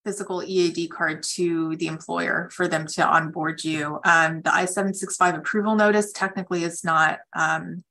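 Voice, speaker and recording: Female attorney on a call-in, bright clear-cut tone, slightly low pitch, explanatory and matter-of-fact. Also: steady tone, moderate pace, hardly any pauses. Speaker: immigration attorney answering callers. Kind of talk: advisory question-and-answer on a conference call.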